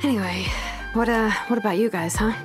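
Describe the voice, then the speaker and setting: A woman's voice: medium pitch, brisk, lightly acted. A woman voicing an easygoing, curious young female tinkerer in a video game, musing aloud in a casual, breezy way. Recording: game music under the voice. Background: background music.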